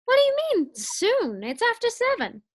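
A woman's voice: very high-pitched, wildly swooping pitch, normal speed, dry recording, clean studio sound, exaggerated voice. A woman playing a sassy, playful, easily exasperated wife in an old-time radio sitcom style. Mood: exasperated, sarcastic.

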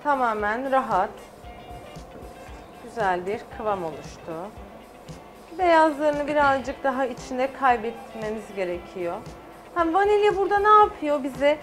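A woman's voice: high voice, expressive, measured pace, pauses often, soft background music. A middle-aged woman, a guest chef on a studio cooking show, explaining each step as she works. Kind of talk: cooking demonstration. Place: TV studio kitchen set.

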